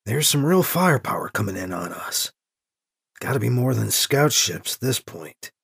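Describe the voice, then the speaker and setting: A man's voice: medium pitch, big pitch swings, moderate pace, gravelly, fairly bright tone, husky, pitched below the performer's own voice, full character voice. A man playing a wry, anxious protagonist in an audio story, muttering terse, sardonic thoughts aloud. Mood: wry worry. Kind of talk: audio story character acting.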